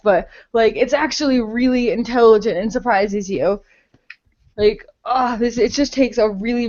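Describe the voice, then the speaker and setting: A woman's voice: on the high side, darkish tone, muffled recording. A young adult woman chatting casually on a podcast, gushing enthusiastically with lots of slang and swearing. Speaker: podcast co-host.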